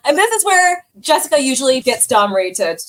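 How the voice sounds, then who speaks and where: A woman's voice: high voice, very animated, brisk, fairly bright tone. A woman hosting a podcast in casual chat, bubbly and laughing often. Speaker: podcast host.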